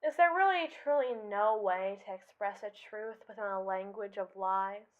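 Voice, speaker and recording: A woman's voice: mid-range voice, moderate pace, muffled recording, very noisy, natural voice. A woman voicing a haughty, jealous robot assistant in an ASMR roleplay, speaking in pompous, sardonic, formal phrases. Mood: flustered, defensive.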